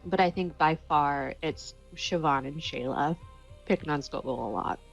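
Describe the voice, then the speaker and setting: A woman's voice: sits low, normal speed, vocal fry. A woman playing a tabletop role-playing game, casually describing her character and checking ideas with the others. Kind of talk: casual improvised character discussion. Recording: slightly dull, limited-band sound. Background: faint music.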